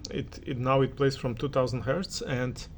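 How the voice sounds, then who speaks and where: A man's voice: mid-range voice, normal speed, slight background noise. A man gives an unscripted spoken review of audio equipment, speaking hesitantly and often searching for his words. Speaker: audio reviewer giving listening impressions.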